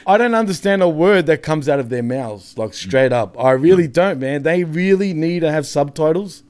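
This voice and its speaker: A man's voice: fairly high pitch, expressive, moderate pace, darkish tone. An Australian man bantering casually on a wrestling review show, jokey, sweary and often laughing.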